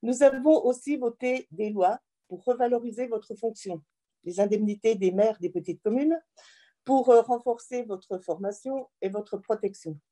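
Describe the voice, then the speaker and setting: Higher-pitched mellow woman giving a formal speech. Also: moderate pace. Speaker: member of parliament addressing local mayors.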